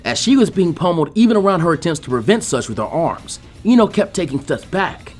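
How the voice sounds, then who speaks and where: A man reading a fan fiction story aloud as an animated narrator. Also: on the high side, a little fast, faint music behind.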